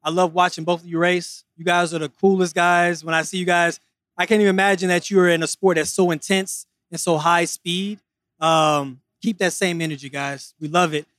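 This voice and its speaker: A man hosting an interview in a lively, upbeat way, speaking outdoors at a loud racetrack. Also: high-pitched, moderate pace, no room sound, studio quality. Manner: upbeat, hype-driven. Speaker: host.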